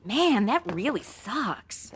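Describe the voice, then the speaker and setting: A woman's voice: fairly high pitch, sing-song, slow, slight background noise. An actress playing a brash, self-absorbed, ditzy young modern woman with sarcastic, slangy delivery in an audio comedy. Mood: blunt, self-absorbed. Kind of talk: comedic audio drama acting.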